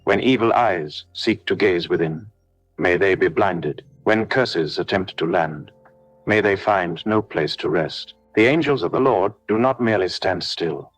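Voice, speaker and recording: A man narrating a scripted devotional prayer, speaking solemnly in measured declarations straight to the listener. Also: low voice, dry recording, low-quality recording. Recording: background music under the voice. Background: music.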